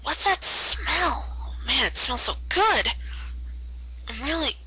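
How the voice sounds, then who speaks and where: A woman voicing a brash, excitable young female cartoon pegasus pony who blurts out exclamations. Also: high-pitched, takes it slowly, voice pushed up, full character voice, low-quality recording. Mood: excited, hungry.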